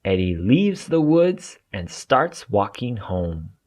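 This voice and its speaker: Animated man reading a children's story, unhurried. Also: on the low side. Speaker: storybook narrator. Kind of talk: read-aloud children's story narration.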